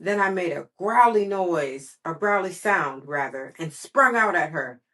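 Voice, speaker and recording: A woman's voice: lowish voice, expressive, measured pace, fairly bright tone. A woman reading as a grouchy, outspoken little kindergarten girl from a storybook, blunt and emphatic in childlike grammar. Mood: proud, confiding.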